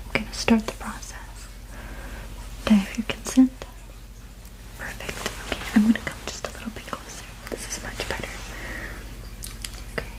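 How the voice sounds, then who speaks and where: A woman whispering softly and breathily in a soothing, reassuring, unhurried ASMR roleplay as a sleep therapist. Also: a little high, rough recording. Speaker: ASMR performer playing a witch sleep therapist.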